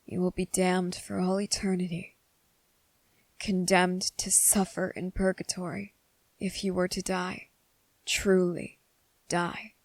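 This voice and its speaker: A woman voicing a young, devoted and possessive male vampire in an ASMR roleplay. She speaks slowly and softly, close to the microphone, and slips into whispers. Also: on the low side, level tone, no echo. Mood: tender, grave. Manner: slow, soft-spoken and intimate, slipping into whispers.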